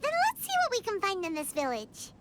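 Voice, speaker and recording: A woman voicing a tiny, bubbly companion girl who talks excitedly and bluntly in a game. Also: very high voice, brisk, very noisy, heavily acted. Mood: curious. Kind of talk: game voice acting.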